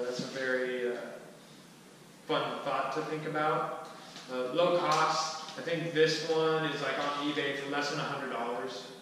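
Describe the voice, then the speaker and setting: A man in his thirties gives an informal talk to a small group, casual and halting as he thinks aloud. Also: on the high side, pushed and bright. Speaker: presenter at a skillshare. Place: large wooden-floored hall, indoors. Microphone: distant camera microphone. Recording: echoey hall from far off, faint noise.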